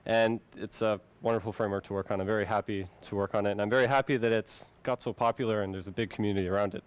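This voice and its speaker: Smooth-voiced Finnish-born man giving a tech talk. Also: lowish voice, racing. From Finland. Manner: friendly, relaxed presenter addressing the audience. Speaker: conference speaker.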